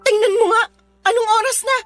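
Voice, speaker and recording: A suspicious, wounded young Filipina mother in a radio drama. Her voice sits extremely high and sounds tearful and accusing. Faint music plays behind her. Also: fairly even intonation, quick pace, a bit bright. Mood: angry. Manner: tearful, accusing, emotional delivery. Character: aggrieved young wife and mother, suspicious, hurt, combative.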